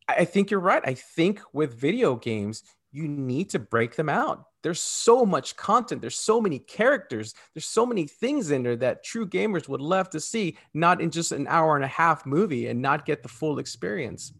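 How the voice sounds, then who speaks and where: A man's voice: on the high side, big pitch swings, moderate pace, vocal fry, fairly bright tone, breathy edge. A man in his forties talking casually and emphatically as a podcast co-host, like an enthusiastic fan. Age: 40s. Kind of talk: casual chat.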